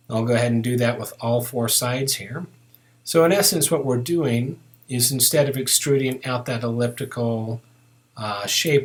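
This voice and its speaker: A man giving an unscripted step-by-step software tutorial as an instructor, thinking aloud in a casual, methodical way. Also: mid-range voice.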